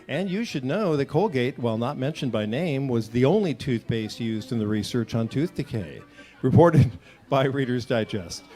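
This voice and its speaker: A man's voice: mid-range voice, expressive, normal speed, warm tone. A man reading a scripted advertisement as an announcer in 1940s radio style. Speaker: commercial announcer.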